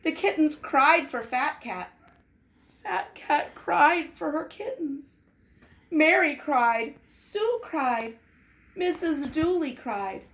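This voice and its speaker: A woman reading a children's storybook aloud in an expressive, deliberate, dramatized way. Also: sits high. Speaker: storybook reader. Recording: noticeable background noise.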